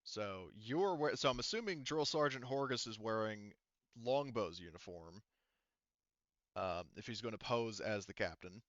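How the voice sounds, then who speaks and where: Man, game master, smooth voice, casual talk, close dry slightly muffled mic. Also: on the low side, animated, unhurried, a bit bright, no echo. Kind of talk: running an online tabletop game, casual.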